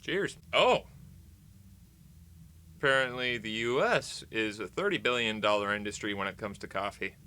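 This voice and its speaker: A man's voice: on the low side, normal speed, long pauses, bright, forceful tone. An American man vlogging in casual, rambling chat to camera, playful and tongue-in-cheek with dramatic emphasis.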